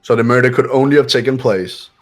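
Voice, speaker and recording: A man's voice: mid-range voice, quick pace, soft background music, close to an everyday voice. A man voicing a cool, commanding young man who questions and explains formally in a visual novel game. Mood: matter-of-fact, superior. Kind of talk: amateur visual novel voice acting.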